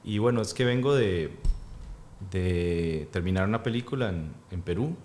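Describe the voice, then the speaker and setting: A man in his thirties, born in Peru and speaking with a Costa Rican accent, talks thoughtfully as a guest, with many pauses and fillers. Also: low voice, animated, a little mellow, a little hiss or hum. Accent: Costa Rican Spanish. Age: 30s. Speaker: actor and guest. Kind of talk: casual interview.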